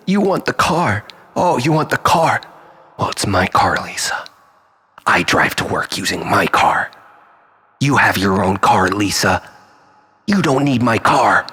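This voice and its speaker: A man playing an obsessive, bitter, unhinged jilted lover in a dramatic monologue, volatile and halting, moving between breathy whispers and outbursts. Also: medium pitch, pushed and bright, full character voice. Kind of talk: dramatic first-person monologue.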